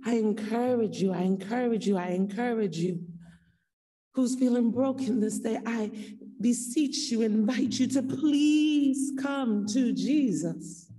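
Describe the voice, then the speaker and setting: A woman preacher delivering an earnest sermon, exhorting her listeners with repeated phrases and emphatic pauses. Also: fairly high pitch, dark tone. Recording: echoey hall, distant from the mic.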